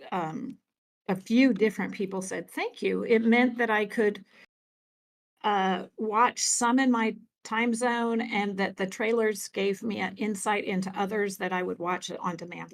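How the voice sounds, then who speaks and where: A woman talking as a podcast guest from an office, animated and quick to laugh. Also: mid-range voice. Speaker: podcast guest, event organizer. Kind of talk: casual podcast conversation.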